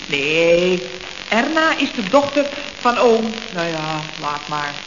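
A woman's voice: lowish voice, very animated, slow. A woman playing a chatty, scatterbrained secretary in a Dutch radio comedy from around 1970, full of questions. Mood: flustered. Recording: roomy, dull, hissy old radio recording.

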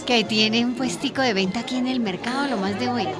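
Very high-voiced, good-humoured Colombian woman played by an actress. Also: brisk, over loud music, close to an everyday voice. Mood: teasing, friendly. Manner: colloquial, teasing, affectionate. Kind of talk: radio drama acting.

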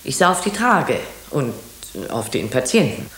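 An actress playing a careful hospital doctor who recalls events candidly and thoughtfully in a radio drama. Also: female voice, rumbling low, very animated, measured pace, a bit bright, heavily acted. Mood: candid, recollecting. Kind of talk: German radio drama acting. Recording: roomy, echoing radio drama studio.

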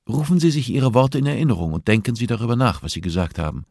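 A man voicing a composed, assertive female prison therapist in an audiobook, speaking in a measured, instructive way. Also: mid-range voice, expressive, a little mellow, dry recording, studio quality, pitched above the performer's own voice. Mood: firm, revealing. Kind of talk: audiobook character dialogue.

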